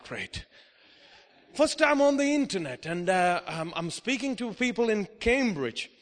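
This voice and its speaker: A man from India preaching a lively sermon, peppering his talk with amens and hallelujahs and laughing often. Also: high-pitched, measured pace. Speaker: guest preacher. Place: church hall. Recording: live in a church with long reverb.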